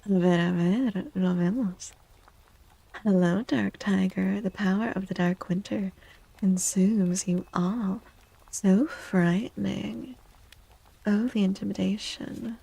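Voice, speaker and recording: A soft-spoken woman chatting in a gentle, playful ASMR style as a streamer, often dropping to a whisper. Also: medium pitch, steady tone, slow, vocal fry, slightly rough, rough recording. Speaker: live streamer reading tarot cards for chat. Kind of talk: soft-spoken ASMR chat, greeting viewers.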